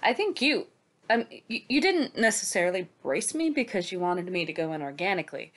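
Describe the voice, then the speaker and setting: A woman's voice: on the low side, sing-song, fairly quick. A woman in a casual book discussion, speaking wryly and quoting others expressively. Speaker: book read-along panelist.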